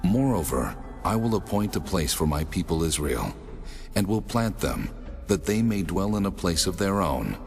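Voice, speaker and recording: A man voicing the Lord God in a dramatized scripture reading, solemn, measured and authoritative. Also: low voice, very animated, a little mellow. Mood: solemn, commanding. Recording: long cavernous reverb, music underneath. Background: music.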